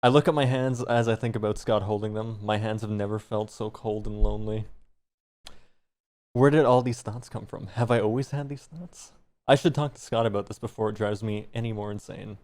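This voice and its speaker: A man's voice: lowish voice, fairly even intonation, brisk, a little mellow. A man streaming, reading fan fiction aloud with some embarrassed amusement.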